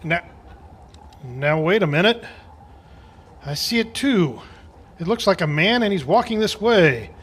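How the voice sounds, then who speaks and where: Man playing a stammering high-voiced cowboy. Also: animated, a bit bright, slightly rough, full character voice. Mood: wide-eyed. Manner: stammering, repetitive, drawn-out wonder. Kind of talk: old-time radio western parody acting.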